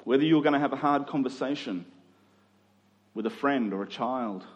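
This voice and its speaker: A man's voice: mid-range voice, moderate pace, lo-fi sound. A man preaching a sermon, reading scripture and urging listeners in a conversational way with repeated phrases. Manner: conversational, exhortative, rhetorical repetition.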